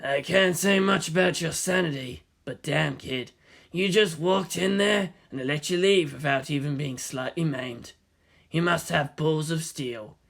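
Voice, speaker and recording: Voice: medium pitch, measured pace, creaky, a bit bright. A narrator voicing a laid-back, wry, roguish man in an anime fan fiction reading. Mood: wry, admiring.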